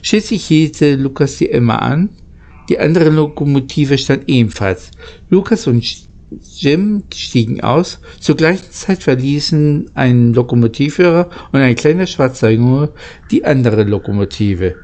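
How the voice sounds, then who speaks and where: A man reading a children's story aloud in a halting way, stumbling over words now and then. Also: medium pitch, expressive, a little mellow. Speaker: amateur audiobook reader.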